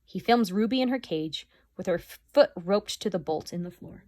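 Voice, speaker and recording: A woman teacher reading a chapter book aloud to her class, with expressive, lively storytelling. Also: lowish voice, normal speed, dry recording.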